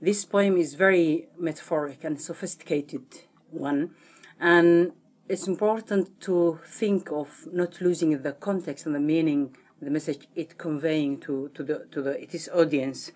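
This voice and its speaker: A woman on a translation panel speaks slowly and deliberately. She searches for words and repeats herself as she goes. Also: low-pitched, fairly even intonation, a little mellow.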